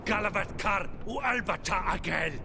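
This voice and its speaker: Mean foreign soldier in a game, higher-ish voice but super gravelly, barking orders, loud noise behind. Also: male voice. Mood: menacing. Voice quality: very creaky, gravelly. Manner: harsh, slow, commanding. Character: hostile, menacing foreign soldier guarding prisoners. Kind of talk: video game voice acting in an invented tongue.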